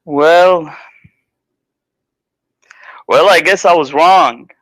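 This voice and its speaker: Casting: slow-talking man from Egypt, bright tone, dry sound, a hesitant caller. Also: fairly high pitch, no room sound, rough recording. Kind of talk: debate call.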